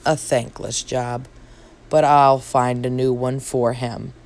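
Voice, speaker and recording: A woman voicing a gloomy old male donkey in a storybook, slow, resigned and plodding in manner. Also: very deep voice, little pitch movement, a little mellow, voice pushed down, full character voice. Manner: glum, plodding, matter-of-fact. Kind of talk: storybook read-aloud.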